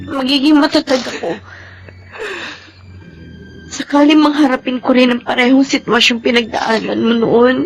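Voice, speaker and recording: A Filipina actress playing a devoted, tender and determined young bride and daughter in a radio drama. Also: female voice, sits high, darkish tone, heavily acted.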